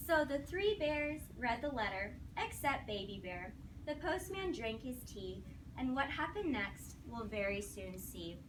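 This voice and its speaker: A woman's voice: a little high, sing-song, unhurried. A woman teacher reading a storybook aloud to young children, encouraging them and putting questions to them as she goes. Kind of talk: interactive classroom storybook read-aloud. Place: classroom with children. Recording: noisy classroom, distant microphone.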